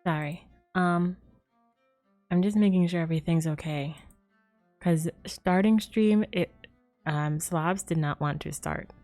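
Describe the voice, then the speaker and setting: A woman's voice: fairly low pitch, measured pace, pauses often, darkish tone, soft background music. A woman livestreamer chatting casually and a little hesitantly to viewers in an easygoing way. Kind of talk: casual gaming stream chat.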